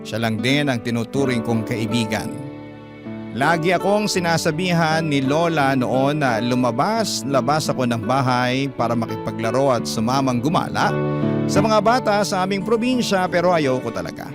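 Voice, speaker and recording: A man narrating a love story in first person, classic radio drama style, with gentle storytelling. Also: mid-range voice, lively intonation, moderate pace. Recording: music bed behind. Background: music.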